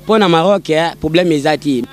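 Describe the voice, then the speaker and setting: Proud Congolese man, fairly high voice. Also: moderate pace. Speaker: interviewee, football fan. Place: outdoors on a city street. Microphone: reporter's handheld mic.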